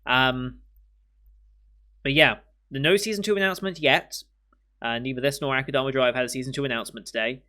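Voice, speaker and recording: A man hosting an anime reaction channel, thinking aloud in casual commentary at home with his voice worn hoarse. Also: mid-range voice, fairly quick, long pauses, sharp tone. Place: home room with windows. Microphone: studio mic in frame.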